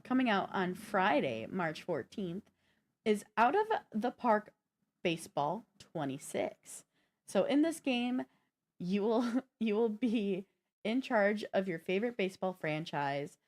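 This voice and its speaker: A woman presents gaming news as one of the hosts of a show. Her delivery is casual and conversational, moving between prepared notes and loose chat. She speaks directly to the audience in a mid-pitched voice. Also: a little mellow.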